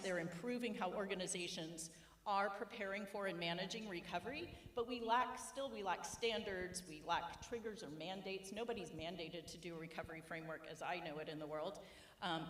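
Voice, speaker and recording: A woman giving a conference talk at a podium in a large auditorium, steady and explanatory as she sums up. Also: medium pitch. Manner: concluding summary, steady and explanatory. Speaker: conference speaker. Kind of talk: conference presentation. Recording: roomy hall with long reverb.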